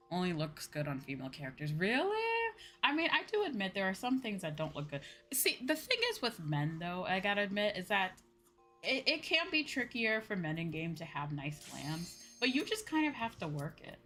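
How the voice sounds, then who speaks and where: A woman with a slightly low-pitched voice talks casually as she plays a game on a livestream. She thinks aloud in an informal, chatty way, full of little filler phrases like "you know". Music plays clearly behind her. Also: very animated, normal speed, pushed and bright. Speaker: streamer playing an online game.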